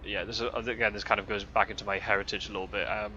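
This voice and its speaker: A man's voice: on the low side, level tone, racing, sharp tone, dull audio, slight background noise. Rambling young man, mixed Scottish-English accent. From Scotland. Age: 20s. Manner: rambling, full of fillers and restarts. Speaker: vlogger. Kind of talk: casual chat while driving. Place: inside a truck cab.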